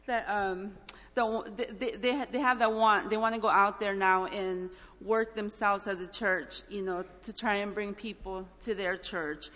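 Halting, emotional woman in an echoey hall. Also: mid-range voice, fairly even intonation, sharp tone. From El Salvador. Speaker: church mission trip member giving a testimony. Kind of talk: informal church testimony. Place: large indoor church hall, speaking up front. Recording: echoey hall with long reverb.

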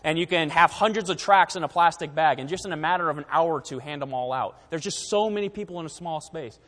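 A young man who grew up in Taiwan speaks to a church congregation, presenting missionary slides in an earnest, conversational way. His voice sits fairly high for a man. The sound carries a long reverb from a church hall. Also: fast talker, a bit bright. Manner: earnest, conversational, frequent fillers.

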